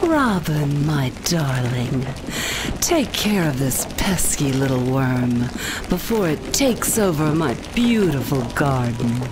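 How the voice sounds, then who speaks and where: A woman's voice: sits low, sing-song, takes it slowly, full character voice. A woman playing a seductive, imperious plant-obsessed supervillain in a video game, with theatrical delivery. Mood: sultry, commanding. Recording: game music underneath.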